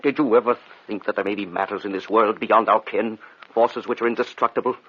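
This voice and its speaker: An actor playing an intense male hypnotist in a gothic radio drama, solemn, deliberate and commanding. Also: on the low side, fairly even intonation, breathy edge. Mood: grave, defiant. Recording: dull radio recording with faint noise.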